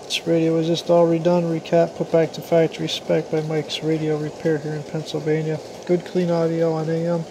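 A man's voice: a little high, fairly quick, few pauses. An American man giving a casual, matter-of-fact product demonstration in short, plain-spoken phrases. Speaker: radio hobbyist presenter. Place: small indoor room at a radio bench. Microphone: handheld camera or phone mic. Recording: clear steady background hiss and hum.

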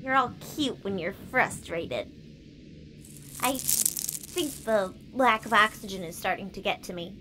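Woman playing a bright, teasing young mole. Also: mid-range voice, lively intonation, a little slow, long pauses, a little hiss or hum, rough recording. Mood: teasing, frank. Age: young adult. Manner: dry, teasing, blunt. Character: bold, teasing, exasperated young female mole. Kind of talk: comedic audio drama acting.

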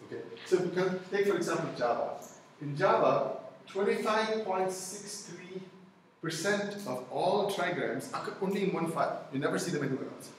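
A middle-aged man whose native tongue is Tamil lectures in a relaxed, chatty way, peppering his points with "you know" and "okay?". His voice sits a bit high. He is captured from a distance in a booming, echoey lecture room with faint noise. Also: normal speed. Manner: conversational, many "you know" and "okay?" check-ins. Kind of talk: university lecture with audience discussion. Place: lecture hall with blackboard and projector. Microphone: far room camera. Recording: echoey lecture hall, picked up from afar.

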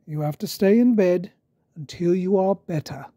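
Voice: mid-range voice, normal speed, warm tone, no room sound, studio quality, natural voice. A narrator voicing a cartoon father pig who calls out and reassures in a storybook reading. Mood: reassuring, firm. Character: cheerful cartoon father pig calling out to children.

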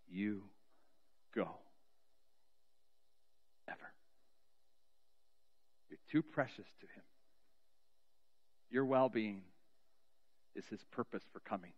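A middle-aged man preaching as a pastor in a church sanctuary, telling a story earnestly and slowing down with soft, trailing endings. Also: lowish voice, muffled recording. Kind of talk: sermon storytelling and spoken prayer. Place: large church sanctuary, indoors. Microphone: cheek headset mic.

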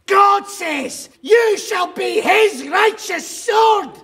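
An actor playing a fanatical medieval preacher in a radio drama, orating slowly and fervently to a crowd. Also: male voice, extremely high pitch, expressive, creaky, bright, forceful tone, exaggerated voice. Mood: fiery exhortation. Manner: slow, fervent, theatrical oratory. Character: fanatical male crusade preacher whipping up a crowd. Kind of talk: historical radio drama acting. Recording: crowd murmur behind.

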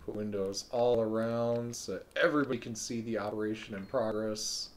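A man streaming a video game live, thinking aloud in a relaxed way with frequent ums. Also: on the low side, normal speed, a little hiss or hum. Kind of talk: live let's-play commentary.

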